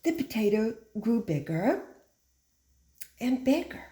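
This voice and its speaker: Lady teacher doing storytime for little kids, simple clear wording, stretches the big words, kinda echoey room. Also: fairly high pitch, sing-song, slow, warm tone. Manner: simple, instructive phrasing aimed at young children. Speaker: teacher reading to children. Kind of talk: animated children's picture book read-aloud.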